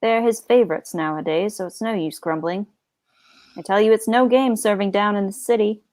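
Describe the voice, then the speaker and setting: A woman voicing a suspicious, sardonic male orc captain who grumbles in rough colloquial speech, in a fantasy storybook. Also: medium pitch, normal speed, darkish tone, close to an everyday voice. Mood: grumbling, uneasy.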